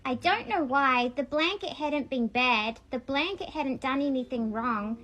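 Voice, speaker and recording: A woman's voice: high-pitched, a little slow, a bit bright, dull audio. A woman reading aloud in a childlike storybook manner, with a casual, homemade read-along style. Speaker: vlogger reading a picture book aloud.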